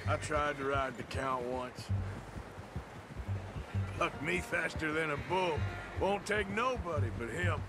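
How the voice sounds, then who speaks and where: A man's voice: a little high, slow pace, pauses often, music in the background. A man playing a loyal, plainspoken cowboy outlaw in a Western video game, with a folksy manner. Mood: wry. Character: gruff, loyal cowboy outlaw, longtime gang member.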